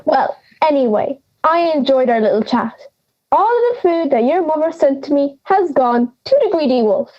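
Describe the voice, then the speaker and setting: A woman's voice: sits high, sing-song, moderate pace, highs cut off. A young woman playing a cranky, forgetful old granny in an audio drama, brusque, sarcastic and theatrical. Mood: indignant. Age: young adult.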